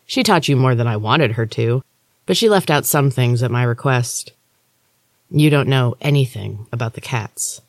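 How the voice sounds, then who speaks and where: A narrator voicing a smug, menacing male rival with a measured manner in a fantasy story. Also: medium pitch, expressive, no room sound, close to an everyday voice. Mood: smug, needling. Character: menacing male rival, cat-eyed, smug magic user.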